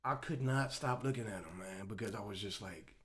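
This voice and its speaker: Animated man telling stories, casual. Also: lowish voice, fairly quick, a crowd in the background. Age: 40s. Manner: animated storyteller, loose and joking. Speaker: podcast host. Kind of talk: casual chat.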